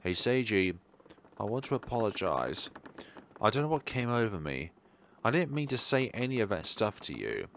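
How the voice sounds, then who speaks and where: An anxious, remorseful schoolboy in a horror visual novel, played by a man. The voice is low and gravelly but swings widely in pitch, earnest and urgent, on a slightly noisy recording. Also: big pitch swings, normal speed, fairly bright tone, exaggerated voice. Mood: earnest, apologetic. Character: anxious, remorseful male student searching for his friend. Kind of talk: visual novel read aloud dramatically.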